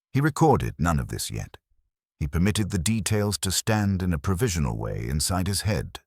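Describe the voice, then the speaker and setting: A man narrating a literary mystery story in a measured, formal, wry manner. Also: rumbling low, breathy edge, no room sound, studio quality. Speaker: audiobook narrator.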